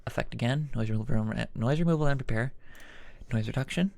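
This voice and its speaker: A man hosting an informal software tutorial, thinking aloud haltingly as he demonstrates each step. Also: medium pitch, gravelly, no room sound. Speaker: tutorial host. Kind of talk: casual screen-recorded software tutorial.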